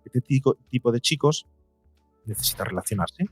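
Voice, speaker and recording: A man's voice: medium pitch, lively intonation, normal speed, slightly rough, dry recording. Spanish guy from explaining stuff like a psychologist on a podcast, dry sound, faint music. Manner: didactic, acts out parents' questions, rhetorical questions. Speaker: psychologist and podcaster, interview guest. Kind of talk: explanatory conversational interview talk.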